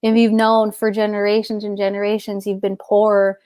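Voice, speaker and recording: A woman's voice: mid-range voice, completely flat delivery, moderate pace, a little mellow, no echo. A middle-aged woman, a guest author, talking casually and rambling, often searching for words and restarting. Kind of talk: casual spiritual conversation.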